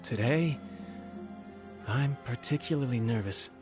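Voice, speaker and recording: A man's voice: mid-range voice, measured pace, over music, close to an everyday voice. An actor playing a nervous, deferential young male retainer in an anime-style video game, polite and stammering. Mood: worried, respectful.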